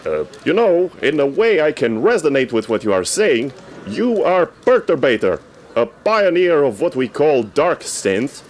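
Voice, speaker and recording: A man playing an eager radio correspondent reporting from a red carpet in a comedy sketch, formal and theatrically excited. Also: on the high side, measured pace. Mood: earnest.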